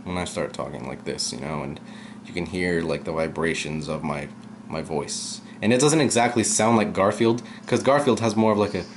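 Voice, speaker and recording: Young male let's-player, low and sometimes monotone, loose rambling delivery; camera mic with light background hiss. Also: moderate pace. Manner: rambling, chatty, drifts off topic. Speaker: let's play gamer. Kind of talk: casual gameplay commentary. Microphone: camera aimed at the game screen.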